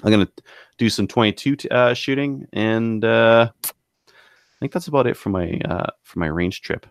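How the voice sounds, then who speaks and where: Very low-voiced man, casual podcast talk. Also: moderate pace, dry recording, clean studio sound. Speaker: podcast co-host. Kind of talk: casual hobby podcast chat.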